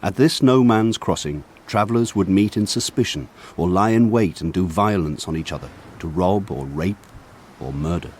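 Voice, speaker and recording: An actor playing a grown man looking back on his village childhood, narrating in a measured, lyrical way. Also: low-pitched, a little mellow, slight background noise, close to an everyday voice. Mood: grave. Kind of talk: scripted literary narration for radio drama.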